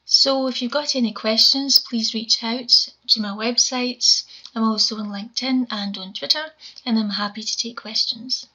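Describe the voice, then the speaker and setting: A woman in her forties with a Scottish accent and a quiet voice, giving a measured conference talk from home over a webcam. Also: on the high side, fairly even intonation. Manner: measured, explanatory presenting. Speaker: conference speaker. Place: home room, indoors. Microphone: webcam video call. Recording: dull lo-fi webcam audio.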